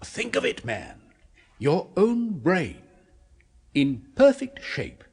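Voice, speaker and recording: An actor playing a blunt, obsessive neurosurgeon, brisk and persuasive, in a 1970s radio drama. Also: male voice, fairly high pitch, huge pitch swings, vocal fry, husky, exaggerated voice. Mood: intense, persuasive.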